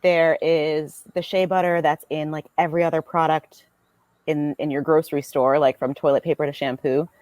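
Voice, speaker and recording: A woman's voice: sits low, level tone, normal speed, darkish tone, dry recording. A young American woman talking casually as a podcast guest, chatty with lots of fillers. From United States. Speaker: guest business owner.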